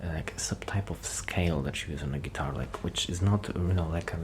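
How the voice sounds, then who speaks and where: A man speaking softly in a breathy, near-whispered voice, rambling shyly and hesitantly in an ASMR roleplay. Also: low voice, fairly quick. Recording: noticeable background noise.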